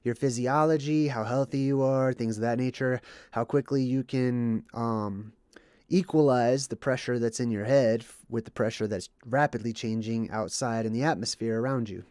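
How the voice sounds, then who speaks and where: A man's voice: mid-range voice, fairly even intonation, normal speed, darkish tone, clear, resonant tone, no room sound, clean studio sound. A man hosting a livestream, explaining to his audience while a bit under the weather and congested, often checking in with "okay" or "right". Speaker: livestream host. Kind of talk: explanatory monologue with chat reading.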